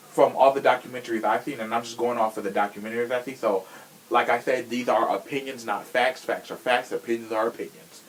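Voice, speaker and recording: A man's voice: medium pitch, poor audio. A young man hosting a podcast in a casual, opinionated chat, talking in a sassy, slangy and emphatic way. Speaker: podcast host.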